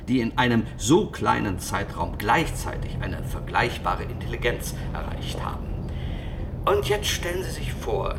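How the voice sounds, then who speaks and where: An actor playing an insistent, dismissive scientist in an emphatic, lecturing radio drama style. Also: male voice, fairly high pitch, vocal fry, background noise. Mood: insistent, skeptical. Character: insistent, dismissive male scientist professor in a future. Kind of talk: German radio drama acting.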